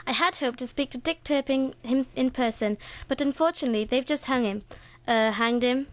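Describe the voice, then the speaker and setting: A woman's voice: high voice, moderate pace, lightly acted. A young woman playing Roger, a male roving reporter in a comic radio play. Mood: earnest reporting. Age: young adult. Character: chirpy male roving reporter sent back in time.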